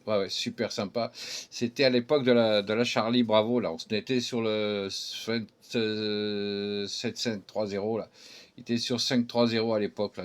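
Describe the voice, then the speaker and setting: Chatty middle-aged man on amateur radio. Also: on the low side. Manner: chatty, rambling, filler tags ending phrases. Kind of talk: casual radio contact chat.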